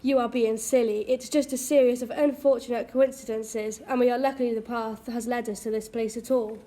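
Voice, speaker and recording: Bossy young sister, high voice woman acting. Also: fairly even intonation, moderate pace, dark tone, natural voice. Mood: scolding, brisk. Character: bossy, sensible young sister, stubborn and polite. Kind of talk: amateur radio drama acting.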